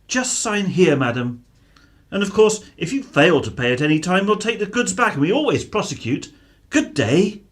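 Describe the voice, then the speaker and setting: A man's voice: high-pitched, big pitch swings, normal speed. An actor playing a suspicious, sarcastic middle-aged British husband and father in a radio drama. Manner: sneering, mock-salesman sarcasm.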